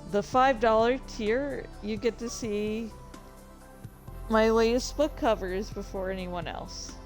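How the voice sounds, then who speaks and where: A woman streamer chatting casually, rambling and interrupting herself with playful excitement. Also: on the high side, takes it slowly, faint music behind. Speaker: streamer and author. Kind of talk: casual livestream chat.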